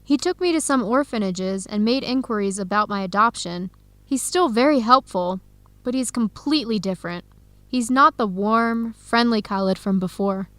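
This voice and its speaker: An actress playing an earnest young researcher who confides carefully and clearly in an English-learning radio drama. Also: female voice, mid-range voice, lively intonation, moderate pace, a bit bright, no echo, close to an everyday voice. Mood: wistful.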